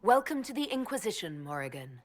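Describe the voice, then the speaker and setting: A woman's voice: medium pitch, sing-song, fairly quick. A woman playing a courteous, composed leader in a video game, speaking politely and directly. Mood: composed, inquiring. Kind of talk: video game dialogue acting.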